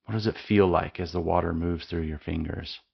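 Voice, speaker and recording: Deep gravelly guy leading a calm slow meditation, dull lo-fi sound. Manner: slow, calm, soothing instructions with long pauses. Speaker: meditation guide. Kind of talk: guided meditation.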